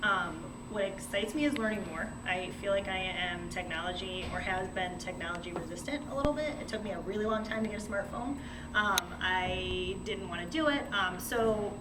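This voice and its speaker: A woman's voice: mid-range voice, normal speed. Woman in a noisy, echoey room. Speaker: classroom assistant. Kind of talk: informal round-table introduction. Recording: roomy, distant mic, loud room noise.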